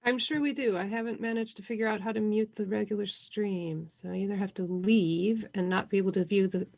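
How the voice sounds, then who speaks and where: Woman running an online panel, chatty and informal, kinda halting as she explains stuff. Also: mid-range voice, steady tone, vocal fry, a little mellow, lo-fi sound. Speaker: co-host presenting an online convention session. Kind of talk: informal webinar introduction.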